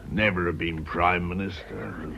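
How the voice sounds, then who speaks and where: An actor playing a blustering but fond old aristocrat in a British radio drama, gruff and clipped, with an upper-class British accent. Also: male voice, sits low, steady tone, a little slow, sharp tone, dull audio, full character voice. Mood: fond, reassuring.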